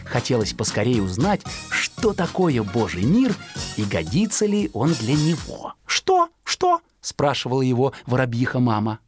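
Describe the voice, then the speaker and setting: A storyteller giving a theatrical, expressive scripted narration of a children's animal tale. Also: medium pitch, moderate pace, soft background music.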